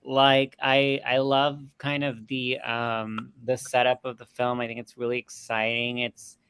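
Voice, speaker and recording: A man's voice: medium pitch, little pitch movement, unhurried, a bit bright, slight background noise. A man giving his impressions in a casual conversational way as a film panelist.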